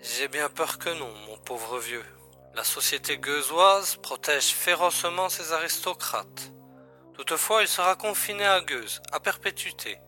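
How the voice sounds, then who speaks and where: A man playing a calm, matter-of-fact secret agent colleague in a science-fiction audio drama, explaining things in a familiar way. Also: a little high, full character voice. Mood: resigned, calming.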